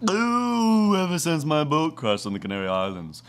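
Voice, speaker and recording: A man's voice: a little high, huge pitch swings, moderate pace, clear, resonant tone. A man playing an eccentric former boat captain and smuggler who welcomes a police officer into his home with theatrical cheer. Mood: reminiscing.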